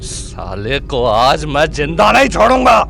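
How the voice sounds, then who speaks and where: Man acting hot-headed young man, animated delivery. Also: fairly high pitch, measured pace, gravelly, exaggerated voice. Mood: tense, angry. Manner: curt, aggressive, commanding. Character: hot-headed young college student politician, protective lover. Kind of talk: Hindi audio drama acting. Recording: music bed under the voice.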